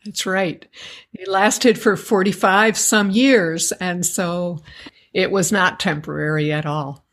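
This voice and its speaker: A middle-aged woman from the United States, answering interview questions in a measured, articulate way. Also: lowish voice, fairly bright tone. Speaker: guest, educators association member.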